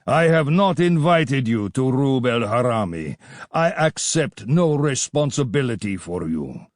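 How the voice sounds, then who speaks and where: A man voicing a gruff, suspicious Afghan bandit chief with a bearded, growling manner. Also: medium pitch, sing-song, a little slow, no echo, clean studio sound. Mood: curt. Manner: growling, curt.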